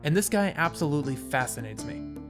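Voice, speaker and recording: High-pitched wry man narrating over music. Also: expressive, fairly quick. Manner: wry, casual, dramatic storytelling. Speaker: video essayist and narrator. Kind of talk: scripted video essay narration with wry asides. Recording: music playing behind voice.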